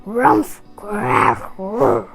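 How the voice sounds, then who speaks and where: A woman's voice: medium pitch, wildly swooping pitch, drawn-out words, vocal fry, soft background music, voice pushed down, exaggerated voice. A woman voicing a big, grumpy old male bear in a children's storybook, grunting and growling wordlessly. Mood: gruff, growling.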